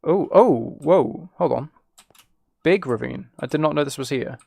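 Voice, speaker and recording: A man's voice: a little high, lively intonation, a little slow, gravelly, mellow, no echo. A British man streaming a game and chatting casually with viewers, musing aloud in an informal, rambling way. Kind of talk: casual chat while playing a game.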